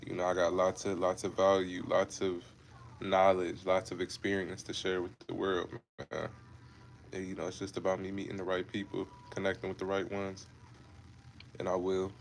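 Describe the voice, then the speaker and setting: A man's voice: sits low, completely flat delivery, moderate pace, gravelly, slight background noise. A man in his twenties from Ohio, chatting casually with viewers on a fitness livestream, laid-back and repetitive, calling them bro and man. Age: 20s. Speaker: fitness creator hosting a livestream.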